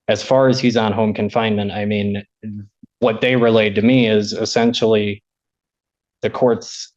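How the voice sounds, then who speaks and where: American guy defense lawyer, low voice, measured and respectful in court, kinda muffled recording. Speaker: defense attorney. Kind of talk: courtroom argument to a judge. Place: indoor courtroom.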